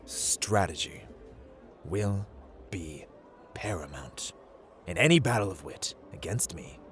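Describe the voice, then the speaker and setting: A man's voice: lively intonation, takes it slowly, faint music behind. A man playing a playful, haughty god of luck in an ASMR roleplay, theatrical and teasing, drawling his words as he addresses the listener. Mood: grand, challenging. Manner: theatrical, teasing, drawling, swinging between hushed and booming.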